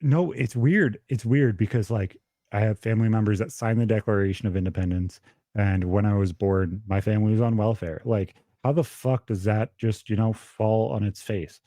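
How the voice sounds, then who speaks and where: A man's voice: fairly low pitch, moderate pace, warm tone, no echo, clean studio sound. A young man co-hosting a podcast over a remote call, talking bluntly and swearing a lot. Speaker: podcast co-host. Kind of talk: casual political chat over a call. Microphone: remote call with dropouts.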